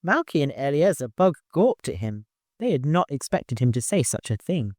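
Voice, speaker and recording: A man's voice: a little high, sing-song, normal speed, a little mellow, no room sound. Man reading an audiobook, dry close sound. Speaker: audiobook narrator. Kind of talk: scripted storytelling narration.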